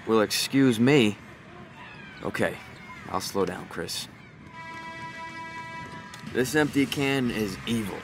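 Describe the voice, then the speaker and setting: An actor playing a middle-aged single father in a video game, a tired beer-drinking dad with a gruff, weary voice and a drawling, half-teasing manner that turns snappish. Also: lowish voice, takes it slowly, pauses often, over music. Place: living room in a house, game scene.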